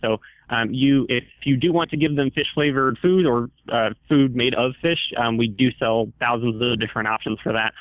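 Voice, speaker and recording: A man's voice: mid-range voice, dry recording. A man working as a store employee, explaining things patiently and hesitantly to a customer over the telephone. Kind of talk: customer service phone call. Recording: tinny, narrow phone line.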